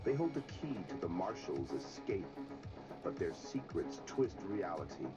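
A deep, grandiose trailer announcer, played by a man, delivers ominous narration with great drama. Loud music runs behind the voice, and the audio is slightly dull. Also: sing-song, measured pace. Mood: ominous, dramatic.

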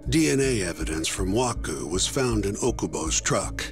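Game role: world-weary, exasperated male lawyer in middle age. Blunt, animated delivery, music underneath, long reverb. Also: lowish voice, normal speed. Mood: matter-of-fact. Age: middle-aged. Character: jaded, exasperated older male defense lawyer. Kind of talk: video game cutscene acting. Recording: echoey hall reverb, music behind.

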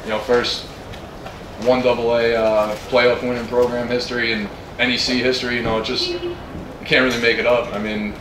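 Even-toned young man, roomy noisy recording. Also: mid-range voice, normal speed. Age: early twenties. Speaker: college football player. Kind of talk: post-game press conference answers. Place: press tent with ambient noise. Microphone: handheld microphone. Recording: roomy, distant handheld mic, clear background noise.

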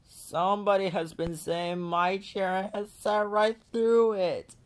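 A man's voice: high-pitched, steady tone, unhurried, voice pushed up. A man voicing a little wee boy bear in a storybook, small, upset and plaintive.

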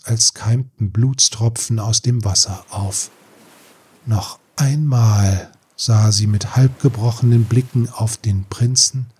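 A man narrating a fairy tale audiobook in a measured, literary storytelling manner. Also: fairly low pitch, slight background noise. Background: soft music.

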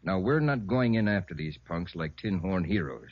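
An actor playing a gruff, hard-bitten police chief in 1950s radio drama, giving orders in a terse, authoritative way. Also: male voice, low-pitched, animated, normal speed, no echo. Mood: stern, commanding. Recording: dull old radio recording.

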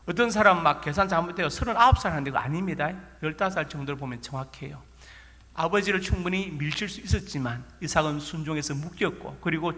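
A man's voice: fairly high pitch, sharp tone. A male pastor with a Korean regional accent preaching a sermon in a folksy, storytelling way, asking rhetorical questions. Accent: Korean regional dialect. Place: church sanctuary. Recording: long church reverb.

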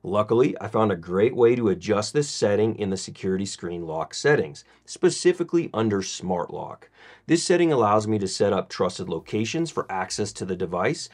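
A man presenting a scripted product review as narration, in a measured, methodical way. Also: on the low side.

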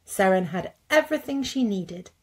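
A woman in her thirties narrating a picture book as a teacher, with deliberate phrasing for young children. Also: medium pitch, lively intonation, a little mellow, breathy edge. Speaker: teacher reading a story. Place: indoors at a computer.

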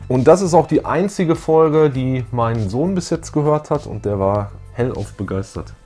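A man's voice: mid-range voice, lively intonation, normal speed, dark tone, faint music behind. A middle-aged man co-hosting a retro review show in casual, unscripted chat. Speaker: co-host of retro audio play review show. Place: small indoor room full of posters.

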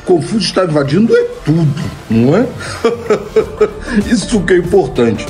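A man's voice: on the high side, sing-song, moderate pace, a little mellow, faint music behind. A middle-aged man with a Brazilian Portuguese accent, chatting casually into his phone as a comedy creator. He answers viewer questions, laughs at his own jokes and keeps asking "né?". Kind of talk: casual Q&A monologue. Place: home room, indoors. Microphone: old phone camera, close framing.

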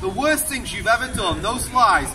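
A young man delivers an open-air sermon in a high, carrying voice, firing rhetorical questions at passers-by. He is caught from a distance by a camera on a tripod. Loud street noise and traffic fill the background. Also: animated, normal speed. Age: young adult. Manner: loud, emphatic, projecting to passers-by; asks the crowd repeated rhetorical questions. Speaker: street preacher. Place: outdoor town square, busy pedestrian street. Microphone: far camera on a tripod. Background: loud street ambience and passing traffic.

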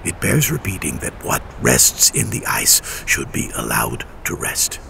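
An actor playing an irritable, haughty ancient mystic in an audio drama, gravelly and halting, with theatrical archaic phrasing. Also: male voice, a bass voice, wildly swooping pitch, bright, forceful tone, slight background noise, exaggerated voice. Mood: grudging. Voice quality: gravelly, breathy at times.

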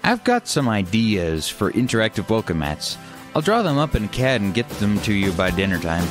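A man's voice: lowish voice, very animated, moderate pace, faint music behind. A man acting in a family radio comedy as an eager, helpful brother full of inventive ideas. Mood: matter-of-fact, eager.

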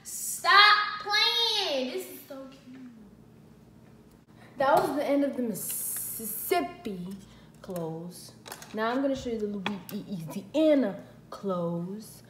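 Young woman vlogger, playful and slangy, unhurried, roomy far-off sound with audible background noise. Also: high-pitched, lively intonation, bright, forceful tone. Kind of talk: casual clothing haul. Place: living room, indoors. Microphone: built-in camera mic from across the room. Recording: echoey room, voice off-mic, ambient noise.

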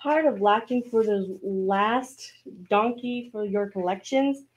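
A woman playing a peppy shop pitchwoman in a comic radio sponsor spot. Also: takes it slowly, close to an everyday voice. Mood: salesy. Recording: faintly noisy amateur recording.

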